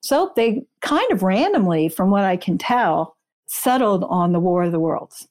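A woman's voice: medium pitch, big pitch swings, a little slow, darkish tone. Informative woman explaining as guest. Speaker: interview guest, author and researcher. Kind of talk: explanatory interview conversation.

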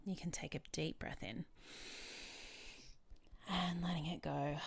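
Woman doing a guided healing session, lowish voice, slow gentle prompts w pauses. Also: creaky, noisy background. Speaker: host and therapist guiding a tapping session. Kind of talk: guided healing session, calm step-by-step instructions.

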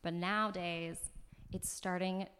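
A young American woman, an actress, chatting as a podcast guest in an animated way, laughing often and filling her talk with "like". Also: lowish voice, unhurried, smooth. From United States. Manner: animated, laughs often, talks in bursts with many "like"s. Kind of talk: casual podcast conversation. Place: floor of an apartment on moving day.

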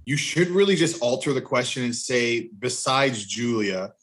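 A man's voice: mid-range voice, animated, moderate pace, a bit bright, husky. A man chatting casually and jokingly as a guest on a podcast. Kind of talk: casual chat.

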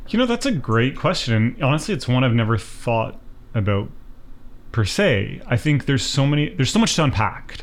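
A man's voice: medium pitch, very animated, normal speed. A Canadian man in his thirties, a filmmaker talking in a reflective, earnest way with many fillers in a casual podcast interview. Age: 30s. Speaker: documentary filmmaker guest.

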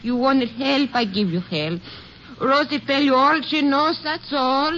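A woman's voice: high-pitched, unhurried. An actress playing an evasive old field woman, pleading in broken English in a radio western. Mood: defensive, pleading. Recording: muffled, noisy old radio recording.

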